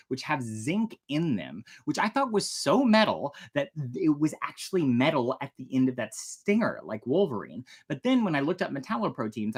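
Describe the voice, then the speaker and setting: An American storyteller with a mid-range voice that rises and falls dramatically. The delivery is expressive and casual, like a host reading tales and chatting with listeners. Phrasing is theatrical yet informal. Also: mid-range voice. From United States, Oklahoma. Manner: dramatic, expressive when narrating, chatty and self-deprecating in asides. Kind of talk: animated storytime reading with casual fun-fact asides.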